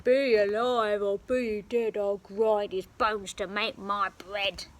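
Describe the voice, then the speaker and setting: A woman's voice: level tone, natural voice. Woman acting out a roaring, menacing storybook giant. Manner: booming, chanting, drawn-out roar. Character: huge hungry scary male giant, roaring and menacing.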